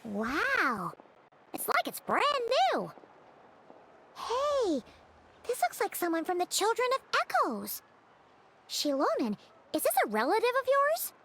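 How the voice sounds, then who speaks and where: A woman voicing a small, excitable fairy companion in a video game, childlike, squeaky and full of exclamations. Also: slow pace, pauses often, a little hiss or hum, full character voice. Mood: astonished. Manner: exclamatory, expressive. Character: small excitable female floating fairy companion, curious and easily astonished. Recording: loud background music.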